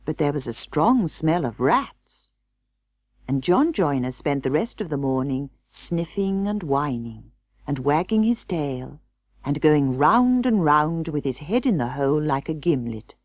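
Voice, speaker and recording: A British woman narrating a children's storybook in a measured, expressive way. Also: sits low, dry recording, studio quality. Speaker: audiobook narrator.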